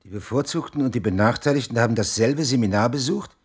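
An actor playing a skeptical investigative journalist who keeps asking questions in a TV drama. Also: male voice, medium pitch, fast talker, breathy edge, lightly acted. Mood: questioning. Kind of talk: scripted TV drama acting.

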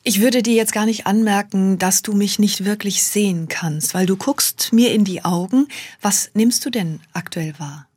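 A woman hosting a radio interview, asking questions in a friendly, lively way. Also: medium pitch, normal speed, slightly rough, no echo. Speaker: radio host. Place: radio studio.

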